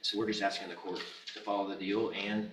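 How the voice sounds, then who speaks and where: A man with an American accent arguing haltingly and in a conciliatory way as a defense attorney, on an echoey, distant, very noisy courtroom recording. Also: on the low side, level tone, a bit bright. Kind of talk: courtroom argument.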